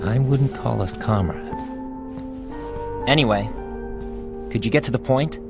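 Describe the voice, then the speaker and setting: An actor playing a blunt, skeptical comrade in an animated game film, dry and detached in manner. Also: male voice, mid-range voice, measured pace, creaky, over loud music. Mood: curt, skeptical.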